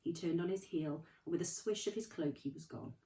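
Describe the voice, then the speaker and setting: Teacher reading a story in an echoey room. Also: female voice, fairly low pitch, a little fast, mellow, distant mic. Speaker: primary school teacher. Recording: roomy, from off the mic.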